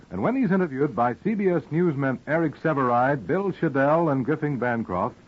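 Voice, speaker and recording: An American man works as a 1950s radio announcer, reading formally with measured enunciation. His voice sits slightly high. The recording is dull, with faint noise underneath. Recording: dull, noisy vintage radio broadcast recording.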